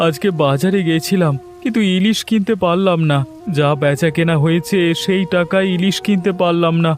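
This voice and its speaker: A man's voice: high-pitched, a little fast, soft background music, lightly acted. A man playing a poor, devoted village father in a cartoon, earnest and full of guilt. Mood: sad, earnest. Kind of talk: Bengali cartoon voice acting.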